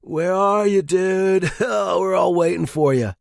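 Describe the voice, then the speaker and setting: A man voicing a brash young party buddy in audio fiction, loud and slangy, teasing and egging a friend on. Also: sits high, gravelly, no echo, studio quality, voice pushed up. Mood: jovial. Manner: shouting over crowds, slangy, drawn-out words. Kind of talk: audio fiction character acting.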